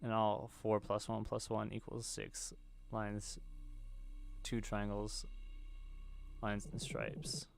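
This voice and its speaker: Low-voiced man reading a game story aloud. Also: completely flat delivery, slow pace, vocal fry, long pauses, slight background noise. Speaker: gaming video host. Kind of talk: reading visual novel script aloud while playing.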